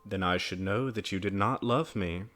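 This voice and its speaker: A man's voice: low voice, normal speed, fairly bright tone, faint music behind. A man voicing a loving mother in a storybook, tender and earnest in manner. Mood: gentle, grave.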